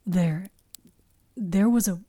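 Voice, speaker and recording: A woman performs a shaken young male in a spooky fiction podcast. He speaks slowly and softly, stammering in earnest dread, with a mellow tone. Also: lowish voice. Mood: shaken, hesitant. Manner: halting, stammering.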